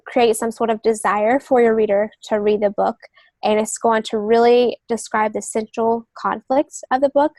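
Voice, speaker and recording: Higher-voiced woman explaining on a podcast. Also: a little mellow, no room sound. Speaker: podcast co-host, literary agent. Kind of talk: explanatory conversational podcast talk.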